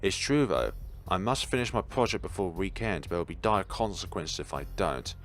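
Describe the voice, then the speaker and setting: A man voicing a detached, sleepy young woman art student in a visual novel, with laconic, deadpan delivery. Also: low voice, moderate pace.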